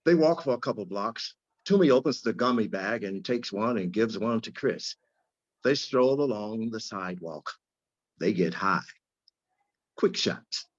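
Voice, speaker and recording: A man's voice: fairly low pitch, animated, unhurried. A man reading stage directions as scripted narration at a live screenplay reading. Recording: dull lo-fi audio.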